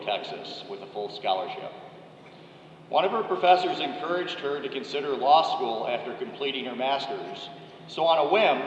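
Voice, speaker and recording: A man, a college dean, reading a measured, formal introduction of a guest speaker from a podium microphone in a large ceremony hall. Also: mid-range voice, a little hiss or hum. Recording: echoey, dull hall recording.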